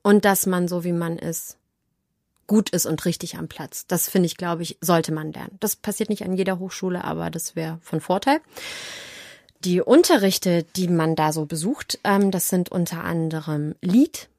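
A woman's voice: fairly low pitch, moderate pace, gravelly, darkish tone, no room sound, studio quality. A woman giving casual, chatty interview answers in a playful, self-ironic way, telling stories with animation. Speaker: interview guest, actress and audiobook narrator.

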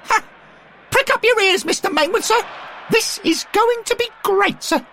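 A shrill jeering young lad. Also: male voice, moderate pace, vocal fry, bright, forceful tone, slight background noise, full character voice. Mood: gleeful jeering. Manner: mocking, cackling, formally polite insults. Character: young male football fan, gleeful, jeering, cheeky.

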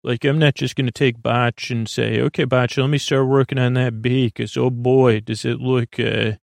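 A man with a mid-pitched voice and a gravelly, fry-laden edge, rambling through a bedtime monologue. He halts, restarts and meanders, and the sound is close and dry with no room around it. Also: little pitch movement, dry recording. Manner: halting, meandering, with many fillers and restarts. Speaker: podcast host. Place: small studio.

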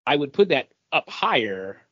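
A man's voice: on the high side, sing-song, normal speed, slightly rough, dry recording, muffled recording. A man co-hosting a podcast, talking casually with the others. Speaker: podcast host. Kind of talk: casual group chat.

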